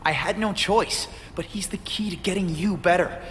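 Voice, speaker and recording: An actor playing a worried, protective young superhero in a video game, speaking in an earnest, pleading way. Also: male voice, high-pitched, moderate pace, fairly bright tone, slight background noise. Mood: concerned, reassuring. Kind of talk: video game cutscene acting.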